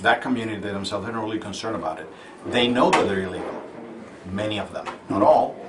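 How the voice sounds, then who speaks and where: A man's voice: lowish voice, fast talker, reverberant, noisy background. A man running for a congressional seat, answering interview questions earnestly and often repeating words as he looks for the phrasing. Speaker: congressional candidate. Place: busy convention hall. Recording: from a distance over a crowd.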